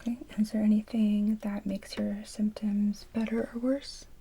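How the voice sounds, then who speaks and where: A woman whispering gently in an ASMR medical roleplay as a receptionist, asking orderly questions in short phrases. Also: medium pitch, flat delivery, moderate pace, creaky, breathy edge, low-quality recording.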